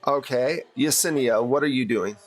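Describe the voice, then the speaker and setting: A man's voice: mid-range voice, normal speed. A man narrating an improvised tabletop game as game master in a conversational, explanatory way.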